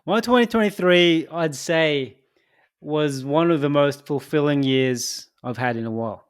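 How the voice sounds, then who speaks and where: A young man co-hosting a casual podcast who speaks English as a second language, thinking aloud with pauses and restarts. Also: on the high side, smooth. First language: not English. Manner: thoughtful, halting, laughs easily. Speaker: podcast co-host.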